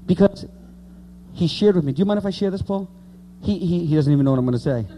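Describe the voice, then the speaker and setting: A man's voice: on the high side, lively intonation, fast talker, mellow. A man in his late thirties preaching an informal evangelical sermon, repeating key words for emphasis and speaking conversationally to the congregation. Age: late 30s.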